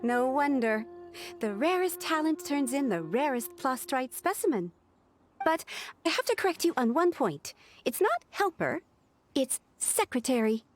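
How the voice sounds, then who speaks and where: A poised young female secretary in a video game. Her voice is high and very animated, yet formal, courteous and precise, proud of her post. Soft music sits underneath. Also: measured pace. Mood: composed, formal.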